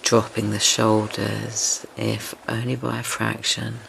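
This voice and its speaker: A man speaks very slowly in a low voice thick with vocal fry. He guides a meditation gently and soothingly, leaving long pauses. Ocean waves wash steadily behind him. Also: completely flat delivery, background noise. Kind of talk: slow guided meditation, yoga nidra. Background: ocean wave sounds.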